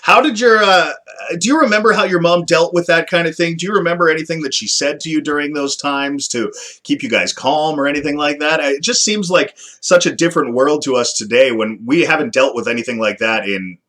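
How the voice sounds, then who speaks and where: A man's voice: a little high, a little fast, sharp tone. A man from Canada hosting an interview podcast, asking upbeat, friendly questions. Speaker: podcast host. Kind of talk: casual interview.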